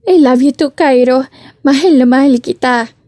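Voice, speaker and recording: Woman acting a high-pitched, emotional young woman in love. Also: normal speed, mellow, lightly acted. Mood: loving. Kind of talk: audiobook romance drama acting.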